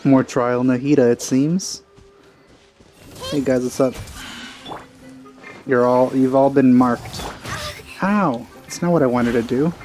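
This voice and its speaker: Mellow, low-key man commenting on a game. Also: steady tone, slow, pauses often. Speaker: gameplay streamer. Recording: game music behind.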